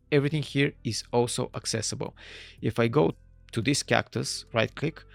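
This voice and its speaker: Gravelly man with a Bulgarian-tinged delivery. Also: mid-range voice, dry recording. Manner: relaxed step-by-step demonstrating, slightly non-native phrasing. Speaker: software tutorial presenter. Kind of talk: screen-recorded software tutorial.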